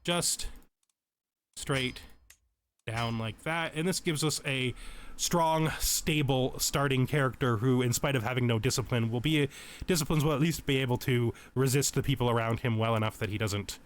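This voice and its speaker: A man's voice: medium pitch, normal speed, slight background noise. A man with a mid-range voice hosts a video game tutorial. He speaks casually, explaining systems step by step and thinking aloud as he makes his choices. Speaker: let's play host giving a game tutorial. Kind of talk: casual gaming tutorial commentary.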